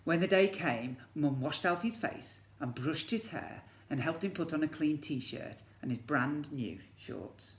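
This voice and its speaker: A man's voice: fairly high pitch, animated, unhurried, hardly any pauses. A man, a teacher reading a children's story expressively and breaking off to ask his young listeners questions. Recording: echoey room.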